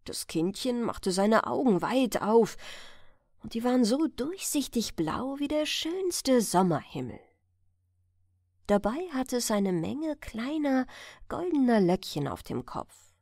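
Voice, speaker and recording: Slow, expressive woman reading fairy tales. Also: fairly high pitch, no room sound, clean studio sound. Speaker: audiobook reader. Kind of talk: expressive fairy tale narration.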